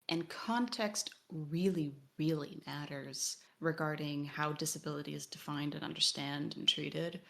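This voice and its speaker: A woman co-hosting a podcast, discussing a game analytically in a thoughtful, academic way. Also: sits low. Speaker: podcast co-host.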